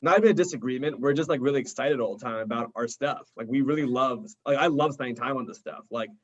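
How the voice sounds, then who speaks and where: A man's voice: medium pitch, fast talker, dull audio. A young man in a band chatting casually in an interview, informal and enthusiastic, sprinkling his talk with "like". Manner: enthusiastic, informal, heavy use of "like". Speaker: indie rock band member being interviewed. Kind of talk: casual chat.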